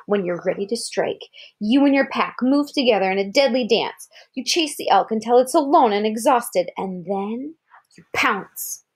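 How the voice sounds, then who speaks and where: An American woman with a fairly high voice reads a children's article aloud. She tells it expressively, like a parent to a child. She breaks from the text to explain things simply and ask questions. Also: breathy edge. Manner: expressive storytelling, pausing to check understanding with questions.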